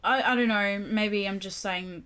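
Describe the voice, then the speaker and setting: A woman's voice: medium pitch, steady tone, normal speed, fairly bright tone, clean tone. A woman co-hosting a podcast, talking casually as part of a group. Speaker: podcast co-host.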